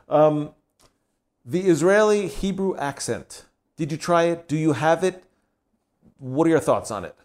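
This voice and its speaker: A man hosting a casual interview, asking questions with frequent quick affirmations and laughing along. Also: a little high, lively intonation, a little mellow. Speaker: podcast host.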